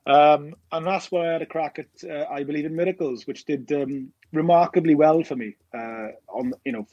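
A husky-voiced Welsh man in his forties talks fast in a rambling way, full of fillers and restarts. His voice is dry and close, over a dull, lo-fi remote call line. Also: on the high side, warm tone, no echo. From Wales. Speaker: documentary director. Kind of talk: casual podcast interview.

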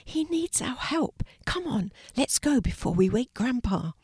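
A woman voicing a kindly, encouraging young girl with a gentle, coaxing way of explaining. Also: lowish voice, expressive, measured pace, creaky, no room sound. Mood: hushed, urging.

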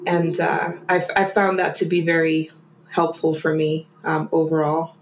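A guest from Germany chats in a loose, rambling way, her thoughts full of fillers and restarts. Her voice sits on the low side for a woman, and the recording carries an echoey room with a faint bed of noise behind her. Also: completely flat delivery, measured pace. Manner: chatty and rambling, with many "like" and "um" fillers. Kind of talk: casual conversation.

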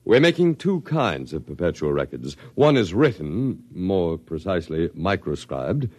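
A low-voiced, courteous scientist with sweeping, theatrical intonation. He is measured and condescending, utterly devoted to his grand project, in an old radio drama with a dry, close sound. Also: big pitch swings, darkish tone, no room sound, full character voice. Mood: smooth, explanatory. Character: smooth, courteous, zealous male scientist running an eternal archive. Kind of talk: classic radio drama acting.

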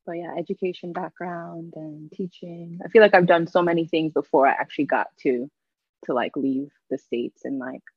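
A woman's voice: sits low, fairly even intonation, moderate pace, husky, dry recording, highs cut off. A woman from New York, born in Jamaica, talking casually as an interview guest and looping back over her phrases in an informal, rambling way. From Jamaica-born, raised in Yonkers and Mount Vernon, New York. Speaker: podcast guest, travel writer.

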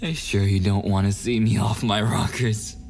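A man's voice: on the low side, steady tone, gravelly, a little mellow, slight background noise. A man playing a possessive, unhinged, murderous kidnapper boyfriend in an ASMR audio roleplay, menacing and often slipping into whispers. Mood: sweetly threatening. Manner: slow, drawn-out menace slipping into whispers and giggles.

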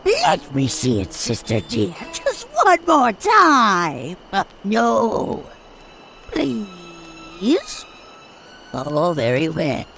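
Creaky, wildly swooping haughty villainess. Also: female voice, fairly low pitch, wildly swooping pitch, very slow, fairly bright tone, soft background music, exaggerated voice. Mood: impatient, demanding. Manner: mocking, imperious, melodramatic. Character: haughty, theatrical villainess, one of twisted twin sisters. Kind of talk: old-time radio drama acting.

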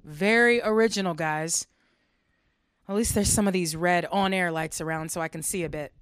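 A woman's voice: lowish voice, normal speed, smooth, close to an everyday voice. A fed-up late-night radio host, played by a woman in a radio drama. She is sarcastic and talks straight to her listeners.

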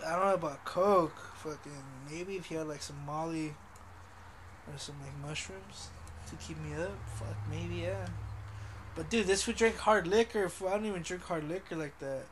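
Young man, high-pitched, laid-back, constant swearing, faint hiss. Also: moderate pace. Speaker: podcast co-host. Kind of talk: casual profane chat.

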